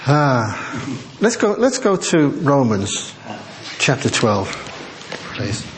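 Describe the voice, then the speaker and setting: Soft-spoken older preacher. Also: male voice, mid-range voice, sing-song, noisy background. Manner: self-deprecating, chatty, quick to laugh. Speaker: preacher and church musician. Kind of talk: conversational church sermon. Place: church hall with congregation. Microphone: small sensitive microphone.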